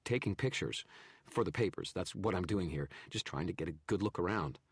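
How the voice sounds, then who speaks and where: A man voicing a jumpy male photographer, pitched up and pushed louder than the narration, stammering and pleading, dry studio sound. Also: expressive, a little fast, slightly rough, no room sound, exaggerated voice. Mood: panicky. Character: jittery frightened male photographer. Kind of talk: audiobook character reading.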